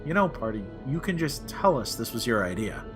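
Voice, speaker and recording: A man plays a skeptical, dryly sardonic Time Lord adventurer in a comic sci-fi audio drama. The pitch swings freely from line to line. Music plays clearly behind the dialogue. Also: big pitch swings, fairly quick, lightly acted. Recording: loud music under the dialogue.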